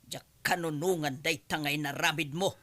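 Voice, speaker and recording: A woman's voice: sits low, fairly even intonation, moderate pace, a bit bright. A woman playing a stern middle-aged mother scolding her grown son in a radio drama. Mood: disappointed, stern.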